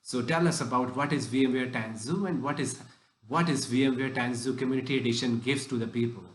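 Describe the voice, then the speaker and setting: A man hosts a tech podcast and asks long, rambling questions, restarting his sentences often. His voice sits at a middle pitch. The room around him is echoey. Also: a little fast. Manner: broken phrasing with frequent restarts. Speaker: podcast host. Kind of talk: casual interview questions.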